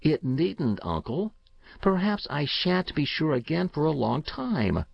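A man's voice: a little high, sing-song, measured pace, gravelly, no room sound, highs cut off, pitched above the performer's own voice, exaggerated voice. A man reading aloud, voicing an eager, anxious young boy who is secretive and intense. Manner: earnest, pleading.

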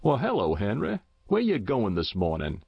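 A man's voice: fairly low pitch, huge pitch swings, normal speed, creaky, slightly rough, lo-fi sound, heavily acted. A man voicing a solid, hearty country neighbor with drawling, emphatic rural American speech in an audiobook. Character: solid, hearty, astonished middle-sized farmer neighbor. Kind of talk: audiobook character voice.

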